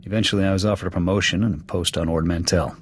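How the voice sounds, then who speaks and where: Man voicing a deep, gruff American military officer. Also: steady tone, racing, a little hiss or hum, close to an everyday voice. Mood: matter-of-fact, resolute. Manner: clipped, blunt military delivery. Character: gruff, proud alien Cathar military officer, blunt veteran sniper. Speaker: soldier serving as second to his commander. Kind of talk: video game dialogue acting. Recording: background music under the dialogue.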